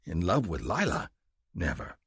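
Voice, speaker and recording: A man's voice: sits low, wildly swooping pitch, unhurried, fairly bright tone, husky, no room sound, studio quality, heavily acted. A man as a proud, tender alien male.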